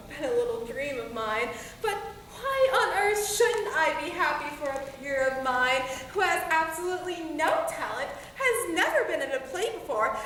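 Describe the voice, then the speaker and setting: A woman's voice: very high-pitched, fairly bright tone, a little hiss or hum, exaggerated voice. A young woman playing a bitter, jealous actress who lost the lead role, sarcastic and theatrical. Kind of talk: amateur comedy sketch acting. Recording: very echoey room, mic far off.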